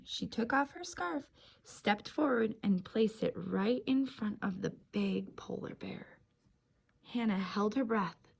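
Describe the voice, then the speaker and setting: Female storyteller for young children: slow, deliberate read-aloud, slightly high pitch, encouraging delivery. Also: gravelly, darkish tone, slightly rough. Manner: simple, encouraging address to young listeners.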